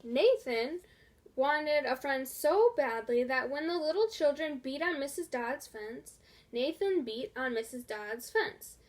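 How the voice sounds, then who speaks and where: A woman reading a children's storybook aloud in a deliberate, expressive way. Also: high voice. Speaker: storybook reader. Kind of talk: scripted children's story narration.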